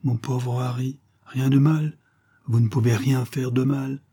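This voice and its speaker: Man as a husky, grieving motherly old nursemaid. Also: mid-range voice, voice pushed up. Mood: grave, sorrowful. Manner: slow, calm, pained, solemn. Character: kindly sorrowful old nursemaid woman, motherly and grave.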